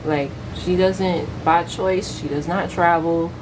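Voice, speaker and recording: An American woman in her thirties talks in a fairly low voice over clear, steady background noise. She chats casually in slangy language, speaking candidly and giving her opinions freely like a vlogger. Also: slow. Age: 30s. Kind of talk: casual chat to camera.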